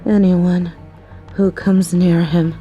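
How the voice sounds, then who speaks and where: A woman playing a young female mafia boss in an audio roleplay, possessive and menacing yet doting, speaking deliberately. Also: fairly low pitch, little pitch movement, lo-fi sound, over loud music. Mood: uneasy, brooding. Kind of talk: scripted audio roleplay acting.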